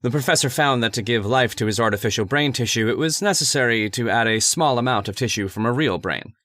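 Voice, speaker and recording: Male actor as persuasive scientist's assistant, formal and deliberate, mid-pitched, dry studio sound, vintage radio horror. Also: fairly bright tone, no echo, lightly acted. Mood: measured, ominous. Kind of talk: old-time radio horror acting.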